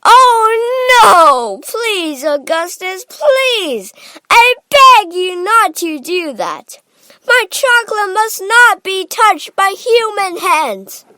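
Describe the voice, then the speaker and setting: A woman voicing an excitable, showy, eccentric man who owns a chocolate factory in a storybook. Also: sits high, normal speed, few pauses, dry recording. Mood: frantic pleading.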